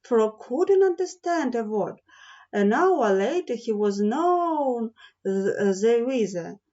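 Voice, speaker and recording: Woman narrator for a children's audio fairy tale, lilting and unhurried, somewhat high voice, muffled lo-fi recording. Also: sing-song, warm tone. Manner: slow, lilting read-aloud delivery. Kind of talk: slow storybook narration for children.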